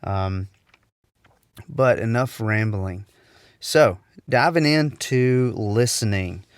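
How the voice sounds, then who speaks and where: A young man talks through his solo podcast with a stuffy, slightly nasal voice, sitting low and smooth in his register. He rambles easily, full of ums and restarts. The sound is close and dry. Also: takes it slowly, dry recording. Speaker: podcast host. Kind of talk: casual solo podcast talk.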